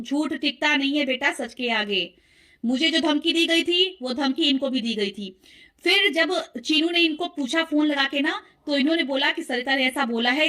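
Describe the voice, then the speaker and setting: Woman vlogger, high sharp voice, heated commentary, insistent n accusing, talks right at the listeners. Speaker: vlogger presenting call recordings.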